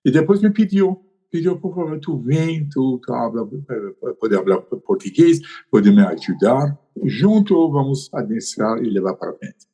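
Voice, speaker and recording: A man's voice: medium pitch, animated, unhurried, few pauses, dark tone. An older man, a podcast guest who tells his story hesitantly, with many fillers and tag questions. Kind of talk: conversational storytelling.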